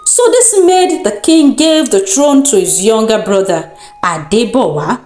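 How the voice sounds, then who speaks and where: Expressive, lively Nigerian woman telling a folktale. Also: on the high side. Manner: deliberate, expressive storytelling. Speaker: storyteller. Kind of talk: dramatic folktale narration. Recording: roomy echo with music underneath. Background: music.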